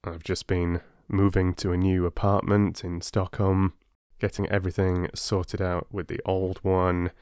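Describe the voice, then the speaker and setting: A man working as a storyteller and host, speaking with measured, deliberate phrasing as if reading to listeners. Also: low voice, level tone, a little mellow, clear, resonant tone, no echo, clean studio sound. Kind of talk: expressive storybook narration and listener address.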